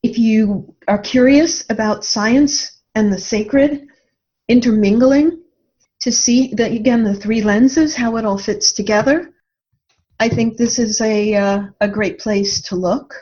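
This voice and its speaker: A woman's voice: on the high side, slow pace, darkish tone. A woman speaking as a guest author in a conversational interview, in an earnest, reflective manner. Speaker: guest author promoting a book. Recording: dull, muffled lo-fi audio.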